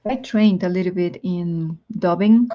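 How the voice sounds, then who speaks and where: A woman's voice: fairly low pitch, a little slow, muffled recording, slight background noise. A woman who teaches Spanish, speaking English with a Spanish accent and chatting casually as a guest, thinking aloud with many ums. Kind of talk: casual chat on a live stream.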